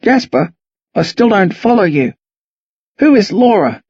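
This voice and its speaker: Casting: man to act a confused old Australian fellow for an audiobook, rough-voiced, halting, full of pleading questions. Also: sits high, sing-song, a little mellow, no room sound, lo-fi sound, voice pushed up, exaggerated voice. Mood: bewildered. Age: older.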